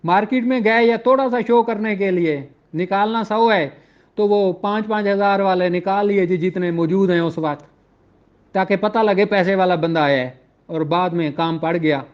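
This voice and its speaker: Male law lecturer, Pakistani Punjabi accent, high-pitched, conversational and explanatory, dull recording. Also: normal speed. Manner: conversational, poses rhetorical questions, switches into English legal terms. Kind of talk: informal explanatory lecture with examples, reading out statute slowly.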